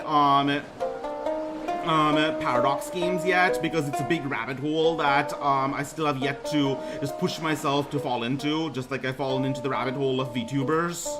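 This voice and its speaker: A young man with a baritone voice introducing himself on his debut stream, talking haltingly with lots of ums and restarts. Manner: hesitant, many ums and restarts. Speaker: VTuber streamer. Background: music playing.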